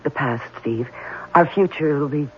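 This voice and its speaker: A woman playing a tender, anxious young fiancée in an old-time radio drama, speaking gently in halting, earnest phrases. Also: low-pitched. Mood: soothing, tender. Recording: muffled, noisy old radio broadcast recording.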